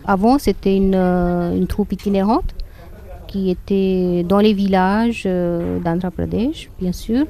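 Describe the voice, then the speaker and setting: Indian woman with a mellow voice. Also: mid-range voice, fairly even intonation, slow. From northern India. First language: Hindi. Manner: hesitant, many fillers and restarts. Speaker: guide and interpreter. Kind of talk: casual explanatory interview. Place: outdoors in a public garden.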